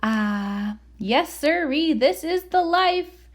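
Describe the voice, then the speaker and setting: A cheerful, self-satisfied couch potato tells its own storybook tale, voiced by a woman. The voice sits fairly high and swings up and down with playful drama. It is expressive and exclamatory, made for a picture book read-aloud. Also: takes it slowly. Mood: boastful, delighted. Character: cheerful, smug, comfort-loving couch potato telling its story.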